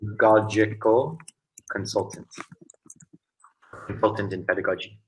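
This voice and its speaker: A man's voice: fairly low pitch, a little slow, a little hiss or hum, poor audio. A young man, an English tutor, chatting casually on a video call, telling stories animatedly and mimicking locals.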